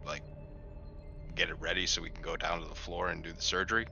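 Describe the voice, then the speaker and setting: Male tabletop player, deep and creaky but sharply forceful, casual talk on a noisy lo-fi recording. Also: flat delivery, fast. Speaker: player in a tabletop roleplaying game. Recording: dull lo-fi sound, clear noise.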